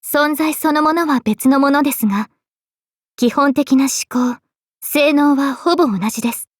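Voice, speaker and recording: A woman voicing a gentle, dignified young female knight in a game, speaking politely and with composure. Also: high voice, normal speed, no room sound, clean studio sound, lightly acted. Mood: calm, explaining. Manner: polite, composed, formal speech. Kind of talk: scripted game character voice lines.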